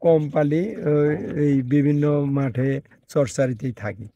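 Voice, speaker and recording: An older man from Bangladesh, a buffalo herder answering questions outdoors in a halting, repetitive way. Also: fairly high pitch, warm tone, no room sound, dull audio. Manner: halting, repeats words. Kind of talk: casual interview answers. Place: outdoors in a rural field.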